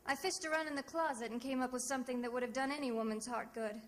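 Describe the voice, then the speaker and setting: A woman playing a sassy, quick-witted private detective in 1940s radio drama style, narrating with wry, breezy wisecracks. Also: high-pitched, fairly even intonation, close to an everyday voice. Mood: wry narration. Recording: noisy old radio recording.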